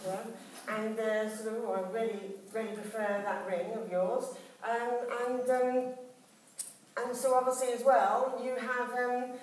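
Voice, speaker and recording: A woman's voice: on the high side, level tone, measured pace. A woman leads a flower arranging demonstration, chatting her way along with plenty of ums, restarts and asides. She sounds a fair way from the microphone. Her voice rings out in a very echoey hall with faint noise behind it. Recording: echoey hall, recorded from a distance.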